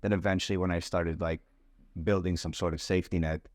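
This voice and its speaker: A man's voice: low-pitched, fairly even intonation, fast, darkish tone, no room sound, studio quality. A young man from Lebanon, a startup founder, chatting casually on a podcast in a laid-back way, full of "like" and "bro". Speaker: startup CEO guest.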